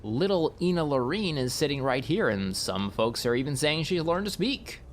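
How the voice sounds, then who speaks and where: A man's voice: fairly high pitch, animated, normal speed, a little hiss or hum, close to an everyday voice. A man voicing a grumpy, sardonic, footloose guardian in an audiobook, with a dry, teasing delivery. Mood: grumpy, sarcastic.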